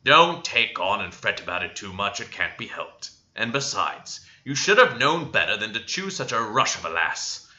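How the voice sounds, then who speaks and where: Male reader as old country doctor, storybook croaker: grave, blunt, pitched down, gloomy. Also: big pitch swings, normal speed, few pauses, sharp tone, highs cut off, exaggerated voice. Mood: grim, resigned.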